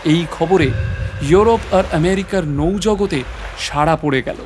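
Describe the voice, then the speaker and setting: একজন পুরুষ অভিনেতা প্রাকৃতিক ইতিহাসের অধ্যাপকের চরিত্রে নাটকীয়, রহস্যময় ভঙ্গিতে নিজের অভিযানের গল্প বলছেন।. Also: male voice, on the high side, measured pace, background noise, natural voice. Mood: grave, suspenseful. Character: male natural history professor recounting his adventure. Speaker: narrator. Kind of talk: dramatic scripted story narration.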